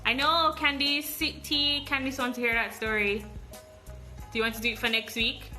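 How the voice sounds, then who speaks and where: A woman's voice: fairly high pitch, little pitch movement, moderate pace, pushed and bright, faint music behind. A woman hosting a live podcast, upbeat, asking eager questions. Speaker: podcast host.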